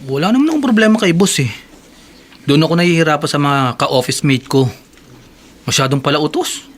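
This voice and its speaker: A man's voice: fairly high pitch, animated, a little fast, a little hiss or hum, close to an everyday voice. A man acting a deferential young office worker and devoted son in a Tagalog radio drama, polite and earnest. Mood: weary, earnest. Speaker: actor.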